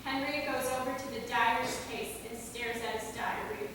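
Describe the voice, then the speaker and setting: A woman's voice: a little high, steady tone, normal speed. A woman reading stage directions as the narrator of a staged play reading. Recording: echoey hall from far off, clear noise.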